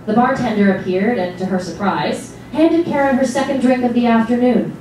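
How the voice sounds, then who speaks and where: A woman's voice: fairly high pitch, normal speed, darkish tone. Woman narrating in a very echoey hall. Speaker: stage narrator reading a text. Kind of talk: dramatic reading of literary narration. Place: small indoor theatre stage before an audience. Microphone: distant camera microphone. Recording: echoey hall, far microphone, noisy.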